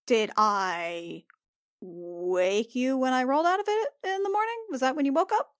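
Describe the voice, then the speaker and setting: A woman playing a flustered, awkward adventurer in a tabletop game, halting, repetitive and over-insistent. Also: high voice, expressive, clear, resonant tone, voice pushed up. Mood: flustered, cagey. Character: flustered, awkward young adventurer hiding embarrassment.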